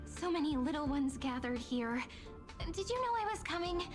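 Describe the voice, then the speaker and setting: A woman voicing a young, plucky, curious game heroine who muses aloud in an expressive manner. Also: high-pitched, normal speed, over music. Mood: playful, puzzled. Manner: expressive, musing aloud to herself.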